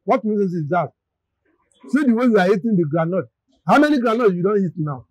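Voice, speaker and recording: A Nigerian man playing a blustering, boastful old car-wash boss in a comedy drama, excitable and given to repeating himself. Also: high voice, a little fast, poor audio. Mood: irritated.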